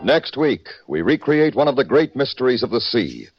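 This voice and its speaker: A man's voice: mid-range voice, sing-song, normal speed. A man announcing a 1950s radio suspense show in a portentous, dramatic style. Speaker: programme announcer. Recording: dull vintage radio recording with music.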